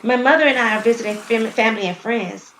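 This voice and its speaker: A woman's voice: on the high side, quick pace, fairly bright tone, roomy sound, a little hiss or hum, low-quality recording. A woman voicing an eager, earnest young poet in an audio drama.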